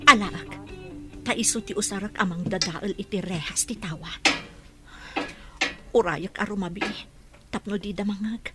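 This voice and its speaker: A determined female captive played by an actress talks to herself in a radio drama as she struggles to get free. Her pitch is average, and loud music plays behind her. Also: close to an everyday voice. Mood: furtive, determined.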